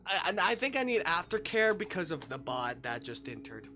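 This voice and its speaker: A streamer with a bright, very animated voice talks casually while playing a visual novel. The delivery is unhurried and rambling, full of joking asides, with music playing faintly behind. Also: medium pitch. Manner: rambling, jokey, easily sidetracked. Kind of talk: casual livestream chat while reading a visual novel aloud.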